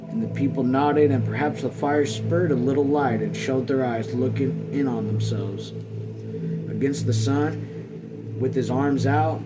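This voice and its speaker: Male read-along narrator, mid-pitched, halting delivery with folksy storytelling, loud music bed behind. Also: low-quality recording. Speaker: host reading a novel aloud.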